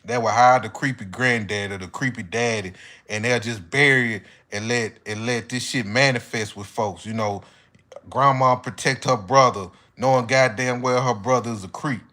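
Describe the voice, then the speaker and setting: A man's voice: mid-range voice, steady tone, normal speed, bright, forceful tone. An American man giving a casual, opinionated monologue as an online commentator, speaking bluntly with heavy slang and swearing. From United States.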